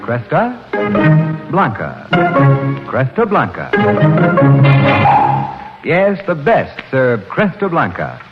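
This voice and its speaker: A man's voice: a little high, wildly swooping pitch. A man reading sponsor announcements in a grand, emphatic 1940s radio style. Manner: grand, drawn-out emphatic delivery. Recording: muffled old radio recording, music behind.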